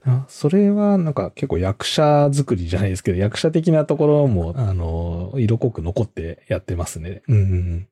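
A man's voice: on the low side, very animated, moderate pace, hardly any pauses, dark tone, breathy edge. A man hosting a casual interview podcast, with loosely phrased questions and frequent listening murmurs. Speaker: podcast host, company co-founder.

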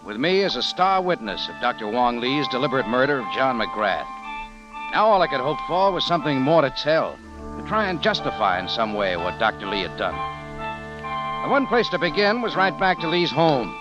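A man's voice: mid-range voice, sing-song, moderate pace, lo-fi sound, lightly acted. An actor playing a hard-boiled, dogged adventurer who narrates his own story in a 1940s radio drama. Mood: tense narration. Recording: old radio broadcast with music behind.

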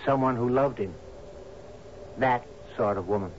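A man's voice: medium pitch, measured pace, close to an everyday voice. An actor playing a loyal, grave island man, terse, guarded and deferential to his captain, in a 1970s radio drama. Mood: matter-of-fact. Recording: dull, noisy old radio recording.

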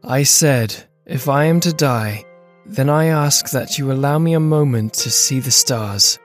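A brave, devoted gentleman lover, acted by a woman in a gothic tale. The voice is lowered, solemn and tender, with dramatic weight and quiet music behind. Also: unhurried.

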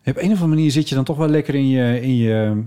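A man chatting casually on a podcast, informally and haltingly, searching for his words. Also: mid-range voice, warm tone, clear, resonant tone.

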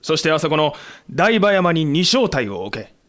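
Battle-hardened samurai leader, terse, wry, commanding, raised pitch, audio drama. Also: male voice, sing-song, moderate pace, a bit bright. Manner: terse, commanding, gruff phrasing. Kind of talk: Japanese period audio drama acting.

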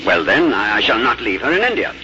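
An actor playing a formal, unflappable English gentleman traveller in a 1950s radio drama, with exact, clipped speech. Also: fairly low pitch, big pitch swings, fast talker, a little hiss or hum. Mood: polite, composed. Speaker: lead actor and narrator. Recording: dull old radio recording with music.

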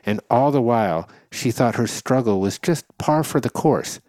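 A middle-aged man hosting a podcast interview, reading a scripted intro and then asking measured, earnest questions. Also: medium pitch, lively intonation, a little mellow, no echo. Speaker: podcast host.

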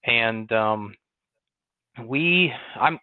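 A man's voice: mid-range voice, extremely slow, dry recording. Guest farmer, man, Western New York accent, easygoing storyteller full of "um"s, tinny phone-like line, dry sound. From Western New York, near Buffalo. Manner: relaxed storytelling with many "um"s and restarts. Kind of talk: conversational interview.

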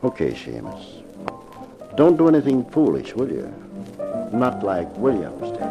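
A big menacing mobster with a deep, gravelly voice that slides up and down mockingly. He threatens in tough slang, in the manner of a fifties radio crime drama. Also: huge pitch swings, a little slow, highs cut off, heavily acted. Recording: vintage 1950s radio recording with music.

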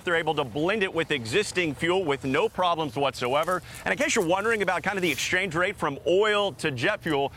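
A man's voice: a little high, sharp tone, a little hiss or hum. A man reporting live on TV news in a conversational style.

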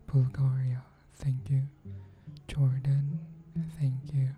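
Podcast host lady, super deep flat voice, soft near-whisper, cello music playing behind. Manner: soft, often near-whispered, slow and unhurried. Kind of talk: thank-you message to listeners.

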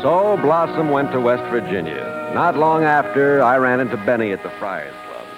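An actor playing a wry, needling showbiz friend and narrator in a 1950s radio drama. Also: male voice, medium pitch, big pitch swings, normal speed, over loud music, close to an everyday voice, low-quality recording. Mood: wry storytelling. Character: sardonic showbiz pal and narrator.

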